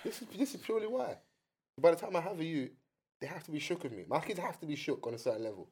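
A young man talks quickly and with energy. He chats casually as host and tells animated stories full of slang. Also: a little high, slightly rough. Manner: animated storytelling, British slang. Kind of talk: casual chat.

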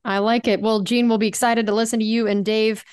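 A woman's voice: on the high side, level tone, brisk, a little mellow, clean tone. A woman, a team reporter hosting a radio interview in an upbeat, friendly and effusive way. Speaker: team reporter and show host.

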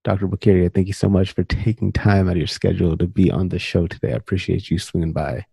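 A man's voice: low-pitched, steady tone, fast talker, creaky, mellow, breathy edge, no echo. A man hosting a podcast interview, reading an upbeat scripted introduction and then asking friendly questions. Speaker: podcast host.

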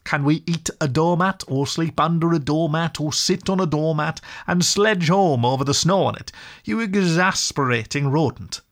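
A man's voice: a little high, normal speed, voice pushed up, lightly acted. A man voicing a fussy, stubborn small male mole in a storybook reading, speaking in a plaintive, grumbling way. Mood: pettish.

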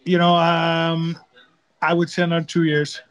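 A man with a Dutch accent, a fighter interviewed over a video call, calm and measured with dry humor. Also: a little high. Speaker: guest, fighter.

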